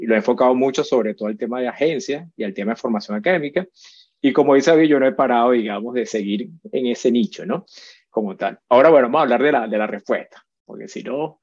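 A chatty man in his forties speaks as a guest in an interview. He often checks in with a quick question and restarts his phrases. The sound is dull and lo-fi, with a dry, close feel. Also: mid-range voice, darkish tone, no echo. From Venezuela. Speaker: guest expert. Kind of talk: casual interview talk.

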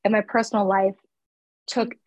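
Husky-voiced woman chatting candidly. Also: medium pitch, flat delivery, moderate pace, no room sound. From East Coast of the US. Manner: candid and chatty, with laughs and fillers. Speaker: florist and shop owner. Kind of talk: casual interview chat.

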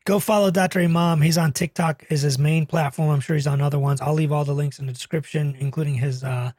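Young man, nasal and raspy, slightly high voice, dry close mic. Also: fairly even intonation, fast, darkish tone, no echo. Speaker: podcast host, doctor. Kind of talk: casual interview chat.

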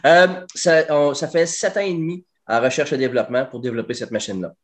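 A man in his forties with a Québécois French accent, a guest talking about his barbecue company in a casual interview, fluent and lively with plenty of hesitations. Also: mid-range voice, quick pace. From Quebec. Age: 40s. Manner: animated, fluent, frequent "euh" and hesitations.

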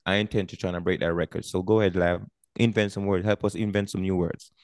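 A middle-aged man, a retired army veteran, talking as a podcast guest in an energetic, emphatic way, often repeating words as he thinks. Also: deep voice, fast talker, no echo, studio quality. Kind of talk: casual podcast conversation.

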